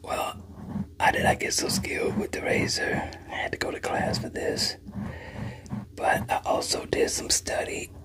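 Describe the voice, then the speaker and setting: A man's voice: a little slow, a bit bright. A middle-aged or older man whispers breathily in an ASMR barbershop roleplay, chatting unhurriedly and reassuringly to a client. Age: 50s or older. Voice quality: breathy, mostly unvoiced whisper. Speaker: ASMR creator role-playing a barber. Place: small room at home, indoors.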